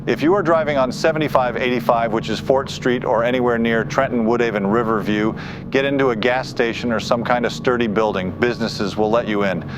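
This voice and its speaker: A man's voice: mid-range voice, fairly quick. A man giving urgent live tornado coverage as a weather forecaster, grave, insistent and speaking off the cuff. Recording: dull muffled audio with faint noise.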